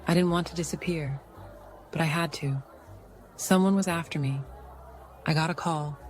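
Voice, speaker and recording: An actress playing a secretive, evasive 1940s femme fatale in a noir radio drama, measured and hesitant. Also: female voice, low voice, some chatter behind, slight background noise, close to an everyday voice. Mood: anxious, defensive.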